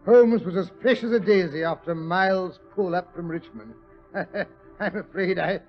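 A man's voice: high-pitched, measured pace, soft background music. An actor playing a genial, stout older British married man who grumbles and loves his comfort, huffing and stammering in 1940s radio style. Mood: genial, reminiscing. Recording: dull, muffled old radio recording.

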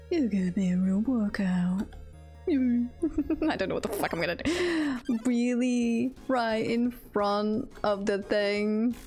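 A woman's voice: fairly high pitch, darkish tone. A woman streaming a video game, chatting casually and reacting aloud in a playful, giggly manner. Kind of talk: casual livestream chat and game commentary.

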